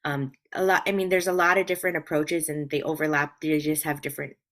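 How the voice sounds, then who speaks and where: A low-pitched woman from Hawaii, around her thirties or forties, talks casually about herself as a guest. Her delivery is reflective and informal, like relaxed personal storytelling. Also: fast talker. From Hawaii, USA. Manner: reflective, informal, uses "like" often. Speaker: guest, life coach and former therapist.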